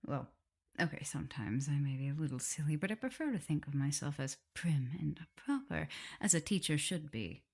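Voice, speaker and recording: A woman playing an affectionate, teasing vampire mistress with a prim teacherly side, in a soft, intimate, often whispered ASMR roleplay. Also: low voice, expressive, normal speed, gravelly, heavily acted. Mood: playfully sassy. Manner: tender, playful, hesitant murmuring.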